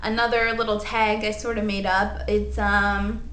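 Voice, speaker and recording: A woman in her late twenties chatting in a rambling, animated way for her vlog, full of asides and "like.". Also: medium pitch, measured pace, echoey room, a little hiss or hum. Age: 20s. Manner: rambling, animated, lots of "like" and "honey". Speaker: vlogger.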